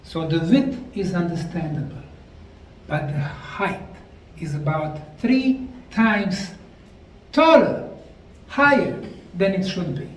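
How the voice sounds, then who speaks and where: A man with a Bosnian accent giving a deliberate, emphatic lecture to a live audience. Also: sits high, lively intonation. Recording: echoey room, mic far off, faint noise.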